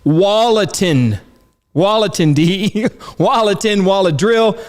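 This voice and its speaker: Stuffy-nosed high-voiced male host. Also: slow pace, big-room sound. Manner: chatty, rambling, laughs while talking. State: stuffed-up nose. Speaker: podcast host. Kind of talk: casual commentary.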